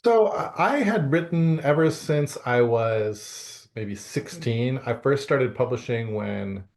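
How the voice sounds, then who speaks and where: A man's voice: medium pitch, expressive, slow pace, gravelly, a little mellow. A middle-aged man from Canada answering interview questions, with many fillers and restarts. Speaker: guest author.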